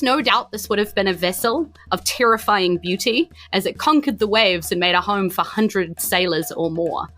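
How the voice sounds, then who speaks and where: A woman's voice: fairly high pitch, bright, forceful tone. A woman with a New Zealand accent narrating a tabletop fantasy game as game master.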